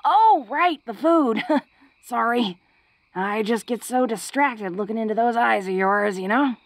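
A woman's voice: a little high, lively intonation, unhurried, no room sound. A woman playing a tough, flirty, secretly awkward female orc in an intimate romantic audio roleplay, speaking in a hesitant, folksy, teasing way. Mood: bashful, affectionate.

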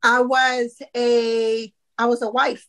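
A woman's voice: on the high side, level tone, takes it slowly. A woman in her thirties, born in Haiti and raised in Florida, a guest accountant telling her story with many "um"s over a video call from home. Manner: warm storytelling with frequent "um" and laughs. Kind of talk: casual podcast interview.

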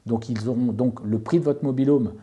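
This is a man with a very dark, mellow voice. He talks informally and methodically, like an experienced owner giving advice. He explains point by point and rephrases as he goes. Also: medium pitch, a little fast. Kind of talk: informal explanatory talk, answering an interviewer.

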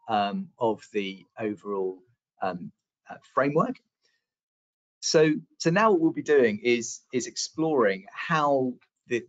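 A man's voice: on the low side, no room sound. A man hosting and moderating a webinar panel on a video call, hesitant, with many fillers and restarts. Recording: dry dull webcam audio.

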